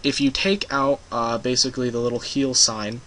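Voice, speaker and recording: A man's voice: medium pitch, little pitch movement, normal speed, sharp tone, a little hiss or hum. A young man casually narrating a screen-recorded game-making tutorial, rambling and hesitant with many ums and uhs. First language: English.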